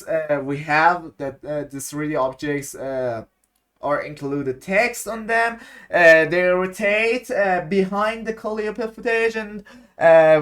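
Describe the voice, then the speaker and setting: A man explaining video editing effects, speaking in halting English with many pauses and fillers as he searches for words. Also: high-pitched, a bit bright. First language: Persian. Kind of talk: unscripted screen-recorded tutorial.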